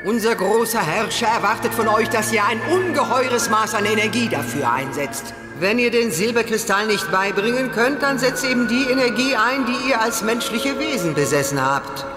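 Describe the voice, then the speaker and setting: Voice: medium pitch, expressive, moderate pace, few pauses, close to an everyday voice. A performer playing an imperious, menacing evil queen in a fantasy audio drama, with stately, commanding delivery. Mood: imperious, displeased. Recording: cavernous echo, music behind.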